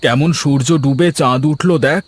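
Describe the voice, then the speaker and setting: A man voicing an excitable, puzzled young village farmer in a fairy-tale cartoon, repeating phrases and exclaiming, with music behind. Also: mid-range voice, normal speed, slightly rough, close to an everyday voice. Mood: amazed.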